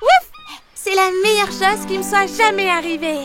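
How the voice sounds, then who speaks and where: A woman's voice: extremely high pitch, moderate pace, over loud music. A woman voicing a tiny, sweet female pet dog in a French children's cartoon, gushing and childlike.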